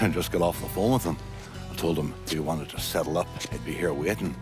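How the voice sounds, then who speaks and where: An actor playing a bitter, sardonic, foul-mouthed Irish bootlegging mobster in a video game cutscene. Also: male voice, extremely low pitch, moderate pace. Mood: amused, reckless. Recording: loud background music.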